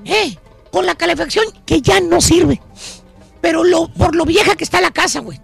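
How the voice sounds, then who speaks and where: A man doing a shrill, creaky old grump. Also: moderate pace, a bit bright, soft background music, heavily acted. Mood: grumbling. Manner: whiny, grumbling, salty slang. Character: grumpy, stingy older man, frozen and complaining. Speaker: radio comedy character.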